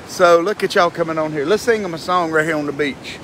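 A man's voice: on the high side, fast talker, a bit bright. A man in his fifties or sixties with a Southern US accent, chatting casually and jokily to livestream viewers in a folksy, teasing way. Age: 50s to 60s. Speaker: vlogger on a livestream, greeting viewers. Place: outdoors on a windy ocean beach. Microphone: phone at arm's length. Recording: outdoor phone audio, wind and surf noise.

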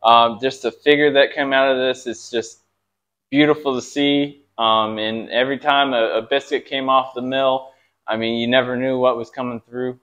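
A man in his thirties co-hosting a casual workshop vlog, easygoing and quick to laugh, recorded in a large indoor sawmill shop on a camera mic a few meters off. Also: mid-range voice, steady tone, fairly bright tone. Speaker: sawmill channel co-host.

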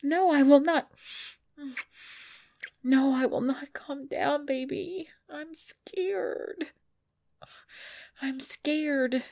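A woman's voice: high-pitched, drawn-out words, creaky, pauses often, a little mellow, dry recording, studio quality, full character voice. A woman playing a cute, easily frightened girlfriend at bedtime in an intimate ASMR-style audio roleplay. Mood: panicked. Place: dry close recording indoors.

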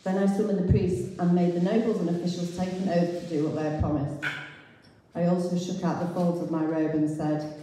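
A woman's voice: on the low side, little pitch movement, fairly quick, warm tone. A middle-aged woman giving an informal, explanatory sermon with scripture readings as a church speaker in a large hall. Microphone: handheld mic through speakers, picked up by distant camera. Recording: very echoey hall, far from the camera.

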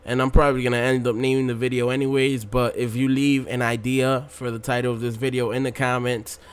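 A man in his mid-twenties records casual commentary for a gaming video. His voice sits at an average pitch for a man. He addresses viewers in relaxed slang, rambling loosely from thought to thought. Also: brisk, clear, resonant tone.

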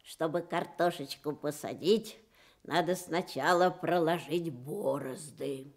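A woman's voice: low-pitched, slow. A woman voicing a lazy, self-satisfied male wild boar, musing and boastful, in a children's radio story. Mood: musing, self-excusing.

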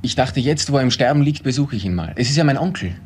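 An actor playing an evasive, subdued grown son who gives short, reluctant answers in a crime drama. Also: male voice, mid-range voice, animated, fast, background noise, natural voice.

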